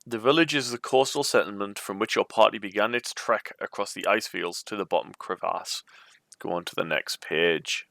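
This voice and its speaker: Bright-voiced man reading a gamebook aloud. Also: lowish voice, normal speed, gravelly, dry recording. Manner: informal, chatty asides. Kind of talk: storybook read-aloud with casual commentary.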